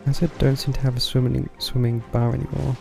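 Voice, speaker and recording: A man's voice: mid-range voice, little pitch movement, quick pace, creaky, darkish tone. A man giving casual live game commentary, dryly muttering to himself, with game music behind him. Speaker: game streamer. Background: video game music.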